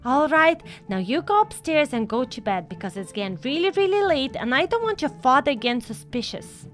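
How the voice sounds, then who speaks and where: A woman's voice: high voice, sing-song, a little fast, a bit bright, faint music behind. A woman playing a scheming, guilt-tripping mother in an amateur role-play story, with an emphatic way of talking. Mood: conspiratorial.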